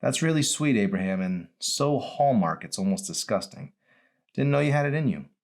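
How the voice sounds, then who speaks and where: A man voices a young woman volunteering at a hospital in a storybook. She is sassy and teasing, with lively, varied pitch. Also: moderate pace, natural voice.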